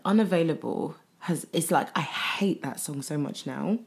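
A woman, co-hosting a casual chat podcast, candid and quick to swear. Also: gravelly, low-quality recording. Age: 30s. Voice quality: deep voice. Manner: candid, swearing, laughs often. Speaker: podcast co-host.

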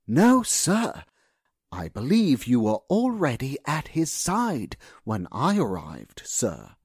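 A man playing a deferential, nervous household servant in a radio drama, husky, formal and hesitant. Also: medium pitch, huge pitch swings, slow pace, no room sound, clean studio sound, full character voice.

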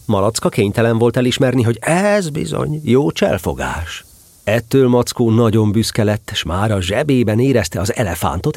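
Male storybook narrator, lively swooping delivery, husky low voice, dry close sound. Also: no echo. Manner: expressive, animated storytelling.

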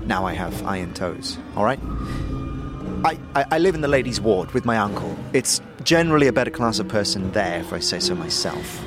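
A man's voice: lowish voice, sing-song, fairly quick, voice pushed down. A man playing a haughty, dismissive city-dweller with a condescending manner in a fantasy audio drama, with music behind. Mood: snobbish. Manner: condescending, exasperated. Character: haughty, dismissive city-born cousin, jaded and snobbish.

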